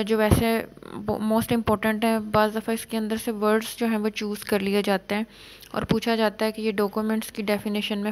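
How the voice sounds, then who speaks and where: Woman teaching law, pretty monotone, reads clauses out slow then explains. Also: medium pitch. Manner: explains legal text in Urdu, reads English clauses slowly. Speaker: law lecturer. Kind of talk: exam-prep law lecture.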